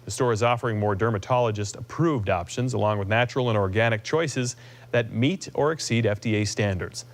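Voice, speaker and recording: A man's voice: fairly low pitch, a little fast. An American man reading scripted news items as an anchor.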